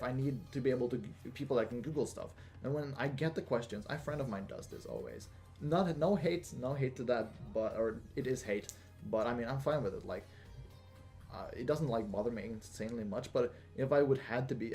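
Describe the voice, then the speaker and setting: A young man hosting a casual Q&A video, talking in a flat, monotone way with lots of fillers and restarts. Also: medium pitch. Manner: monotone, rambling with many fillers. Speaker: gaming channel host. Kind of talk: casual Q&A monologue.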